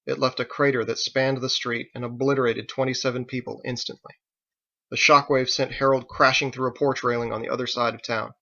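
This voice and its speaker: Male audiobook narrator, middle pitch, creaky and forceful, dramatic prose reading, slightly dull recording. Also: level tone, fairly quick. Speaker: author reading own novel.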